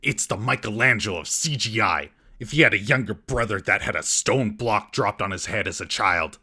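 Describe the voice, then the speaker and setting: Sarcastic man with husky, forceful voice. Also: lowish voice, expressive, normal speed. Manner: sarcastic, mocking. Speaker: comedic film reviewer and host. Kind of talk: scripted comedic recap narration.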